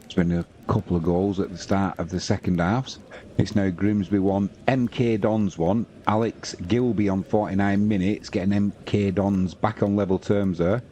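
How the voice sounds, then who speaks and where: A British man in his fifties talks through football scores on a live stream, slow and chatty in manner. His voice sits deep and warm. A faint hiss of background noise stays under him throughout. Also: steady tone. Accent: British English. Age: 50s. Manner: slow, unhurried, chatty, reads scores aloud. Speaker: football commentator and host. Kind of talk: relaxed live-stream football commentary and chat.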